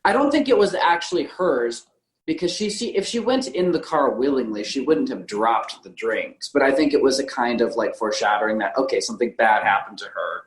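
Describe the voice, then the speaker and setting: A man's voice: medium pitch, a little fast. A man in a casual film discussion as podcast co-host, talking tentatively and correcting himself as he goes. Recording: roomy, echoey sound.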